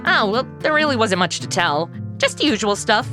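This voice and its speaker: A woman's voice: on the high side, very animated, moderate pace, over music. An actress playing a sassy, flippant modern American woman who wisecracks her way through a comedy radio drama. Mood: wry.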